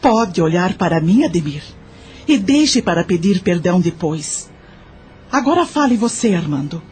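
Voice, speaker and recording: A woman's voice: on the low side, sing-song, moderate pace, darkish tone, a little hiss or hum. A woman with a Brazilian accent playing a grieving elderly aunt in an audio drama, solemnly and insistently demanding the truth.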